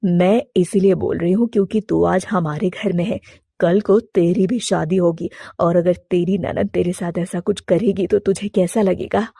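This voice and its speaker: A woman's voice: on the low side, steady tone, normal speed, gravelly, dark tone, slightly rough, no echo, clean studio sound. A woman voicing a stern but fair mother-in-law pigeon who firmly scolds her daughter.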